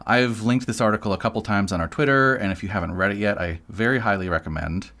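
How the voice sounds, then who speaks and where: A man's voice: lowish voice, fast talker, bright, forceful tone. A man co-hosting a podcast in casual chat, joking and teasing his co-host as he reads out the show's business.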